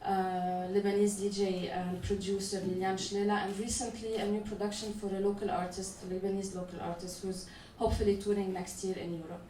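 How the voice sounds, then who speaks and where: A woman festival organizer speaks on a conference panel in a measured, halting way, stopping often on "uh." Her pitch sits in the middle range. She is recorded from a distance in an echoey hall with faint noise behind her. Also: flat delivery, mellow. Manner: measured, halting, frequent "uh" restarts.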